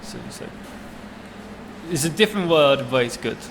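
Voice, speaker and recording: A man in his twenties with a Swedish accent, chatting in an interview as a chef, halting with many fillers and restarts. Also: on the high side, lively intonation, noisy background. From Sweden. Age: 20s. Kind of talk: casual interview.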